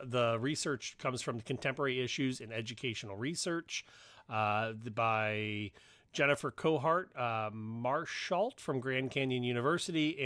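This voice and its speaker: A man's voice: mid-range voice, fairly bright tone. A man hosting a podcast discussion, moderating and talking through points with co-hosts. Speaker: podcast host.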